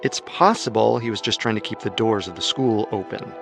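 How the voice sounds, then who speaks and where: Man, low gravelly voice, scripted true-crime narration, measured storytelling, soft music bed.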